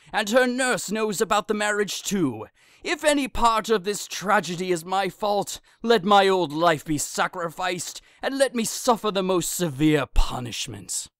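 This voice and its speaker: Actor playing an anguished high-voiced elderly friar. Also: male voice, a bit bright, no echo, clean studio sound. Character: anguished elderly friar confessing his guilt. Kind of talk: modernized Shakespeare audio drama acting.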